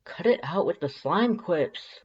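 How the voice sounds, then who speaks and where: A man's voice: high-pitched, animated, measured pace, darkish tone, slightly rough, lo-fi sound, voice pushed up, full character voice. A man voicing a laid-back, sardonic male skeleton in an audio drama, with casual, dry, slangy speech. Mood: awkward, exasperated. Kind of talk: fan fiction audio drama acting.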